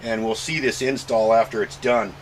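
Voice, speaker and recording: An American man giving a casual, halting explainer to camera in an informal, thinking-aloud way. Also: on the low side, steady tone, slight background noise, poor audio. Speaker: vlogger showing a truck modification.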